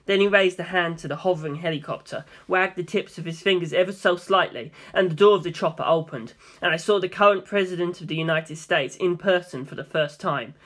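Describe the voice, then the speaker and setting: A man's voice: high-pitched, brisk, hardly any pauses, a bit bright. A man reading a scripted first-person story as an audiobook narrator.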